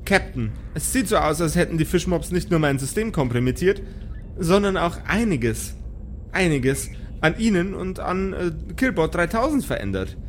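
Guy doing polite but snarky spaceship ai, kinda high voice, teasing, bit of background noise. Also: very animated, moderate pace. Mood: concerned, formal.

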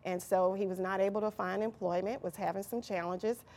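A woman's voice: mid-range voice, steady tone, brisk, darkish tone. A woman answering as an interview guest in a conversational way, often searching for her words. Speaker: interview guest from an employment nonprofit.

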